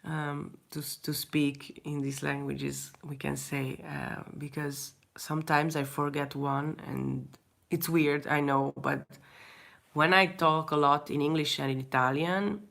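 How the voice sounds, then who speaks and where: Young Hungarian woman, non-native English, low voice, slow and hesitant, casual stream chat. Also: steady tone, creaky. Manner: hesitant, many restarts, frequent soft laughs. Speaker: artist answering viewer questions.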